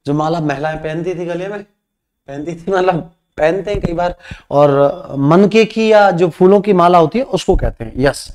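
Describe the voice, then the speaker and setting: A man with a Rajasthani Hindi accent teaches an English exam class in Hindi. His voice sits fairly high. He quizzes the students and then gives the answers himself, drawing English words out slowly as he writes on the board. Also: a little mellow. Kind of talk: exam practice lesson. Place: classroom studio with a whiteboard screen.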